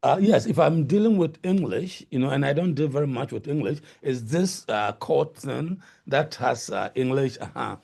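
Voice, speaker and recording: A man's voice: medium pitch, expressive, moderate pace, vocal fry, hardly any pauses, warm tone, husky. A middle-aged man with a Ghanaian accent, answering webinar questions over a video call, hesitant and full of fillers. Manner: hesitant, many fillers and restarts. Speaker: guest lecturer.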